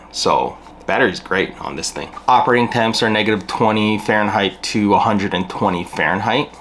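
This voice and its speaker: A man's voice: on the low side, a bit bright. A middle-aged man giving a casual, plainspoken product review to camera, explaining things as he goes in a small room at home. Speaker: gear reviewer.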